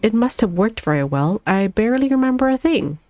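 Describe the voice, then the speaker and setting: A woman's voice: mid-range voice, sing-song, brisk, warm tone, dull audio, pitched above the performer's own voice, lightly acted. A woman voicing an anxious, fussy, mild-mannered man in a fantasy game. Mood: fretful. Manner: fretful, fussy.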